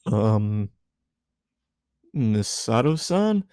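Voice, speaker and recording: A man's voice: lowish voice, sing-song, extremely slow, mellow, clear, resonant tone, no echo, clean studio sound, exaggerated voice. A man voicing a shy, confused, easily embarrassed teenage boy who speaks hesitantly, in a story reading. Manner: hesitant, stammering.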